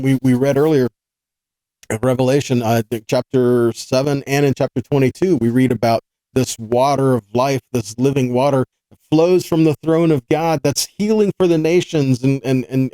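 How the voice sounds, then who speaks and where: A man's voice: medium pitch, warm tone, no room sound, low-quality recording. A man teaching scripture in an informal, earnest sermon style, explaining conversationally with rhetorical questions and repeated points. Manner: conversational, asks rhetorical questions and checks in with listeners. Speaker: Bible teacher hosting a weekly scripture study.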